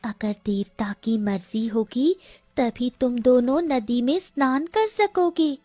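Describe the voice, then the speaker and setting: Woman voicing a high-pitched, ominous magical myna bird. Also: slow, warm tone, no echo. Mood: commanding, cautioning. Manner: menacing, warning, declamatory. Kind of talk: animated fairy tale character voice.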